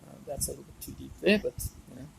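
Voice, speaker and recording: Male DIY presenter, creaky voice, unscripted workshop chat, thinking aloud over clear background noise and clicking. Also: mid-range voice, racing, fairly bright tone, poor audio. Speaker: DIY woodworking video maker. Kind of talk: casual hands-on workshop commentary.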